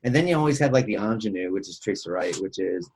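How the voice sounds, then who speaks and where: A man's voice: sits low, lively intonation, quick pace, a little mellow, slightly rough. A man hosting a podcast in a casual, conversational discussion style. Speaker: podcast host.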